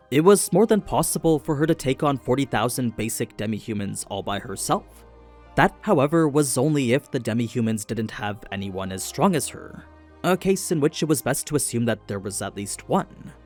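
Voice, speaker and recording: A man's voice: mid-range voice, a little mellow. A man reading a scripted story recap in an explanatory storytelling manner, stressing the turns in the tale. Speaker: narrator of anime lore recaps. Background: background music.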